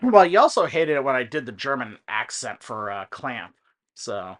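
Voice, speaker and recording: A man giving casual, digressive commentary on a video game, explaining things in a halting way. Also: medium pitch, sing-song, fairly bright tone. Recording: faint music in the background.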